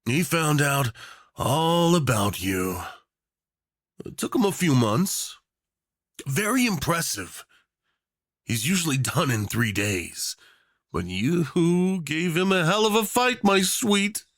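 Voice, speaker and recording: A man's voice: on the high side, very animated, slow pace, long pauses, a bit bright, dry recording, studio quality, voice pushed up, full character voice. A man playing a doting, unhinged rich tyrant in an obsessive romance roleplay, theatrical and saccharine, teasing with pet names. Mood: smug, amused. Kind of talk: yandere ASMR roleplay acting.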